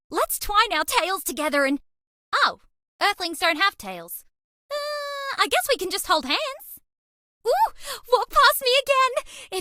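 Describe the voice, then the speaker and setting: Girlish alien mouse, video game character, coy, playful, dramatic, very animated, slow-paced. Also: very high voice, dry recording, studio quality, heavily acted. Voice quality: cutesy, girlish.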